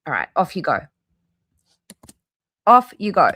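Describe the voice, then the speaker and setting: A woman's voice: on the low side, huge pitch swings, unhurried, fairly bright tone. A woman hosting a casual reaction livestream, reading aloud in an informal way with offhand asides. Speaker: livestream host.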